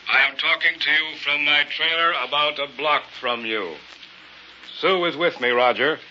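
A man's voice: a little high, moderate pace, sharp tone. A domineering mad professor from a vintage radio thriller. He gives menacing orders in a hard, piercing voice with cold deliberation. The sound is dull, with some background noise. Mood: coolly menacing. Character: cold, commanding escaped madman posing as a professor. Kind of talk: old radio horror acting.

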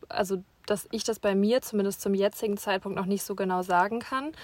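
Eine junge Frau, die als Co-Gastgeberin locker mit ihrem Partner plaudert, zögernd und mit vielen Neuanfängen. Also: female voice, mid-range voice, fairly even intonation, brisk, darkish tone, no echo. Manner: hesitant, many restarts and fillers. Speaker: podcast co-host. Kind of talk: casual couple chat. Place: small camper van.

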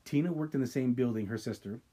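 Male podcast co-host, mellow husky tone, reads listener emails dramatically. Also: medium pitch. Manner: reads with dramatic pauses, breaks off to react. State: sipping wine. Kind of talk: casual podcast chat, reading listener stories aloud.

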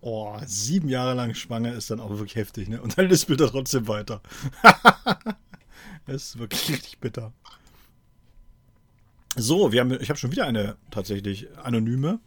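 A man's voice: medium pitch, expressive, normal speed, long pauses, pushed and bright, no room sound. A man co-hosting a podcast, reading stories and chatting in a colloquial, amused way. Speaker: podcast co-host. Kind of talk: reading out listener stories and casual chat.

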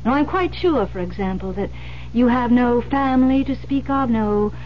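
An actress playing a composed, grave police detective, explaining deliberately with pauses in old-time radio drama style. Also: female voice, a little high, lively intonation, close to an everyday voice. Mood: measured, insistent. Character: composed, grave female police detective breaking bad news. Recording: muffled vintage radio recording with hiss.

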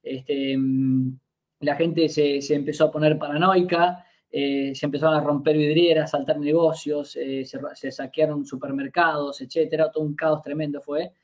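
A young Argentine man in his twenties with a voice pitched a little high for a man. He talks as a podcast guest, telling a long story casually. He stops and restarts often, leaning on fillers as he gathers his thoughts. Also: flat delivery, moderate pace. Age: 20s. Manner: hesitant, many fillers and restarts while recounting.

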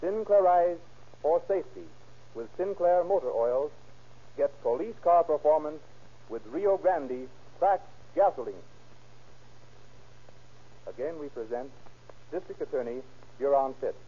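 A male American announcer reads scripted 1930s radio copy. His delivery is formal and emphatic, with a husky edge. The recording is dull and muffled. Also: fairly high pitch, animated, measured pace, long pauses. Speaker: announcer and narrator.

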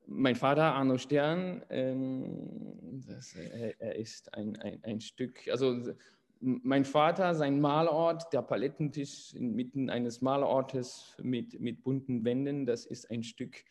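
Hesitant French man, mellow tone. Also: medium pitch, slow, in a large hall. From France. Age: 40s. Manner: hesitant, searching for words with many fillers. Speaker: guest, education author. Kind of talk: conversational interview answers.

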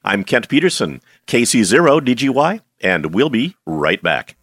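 A man's voice: mid-range voice, sing-song, normal speed, a bit bright, husky, no room sound, clean studio sound. A man reading a scripted radio announcement as a podcast host.

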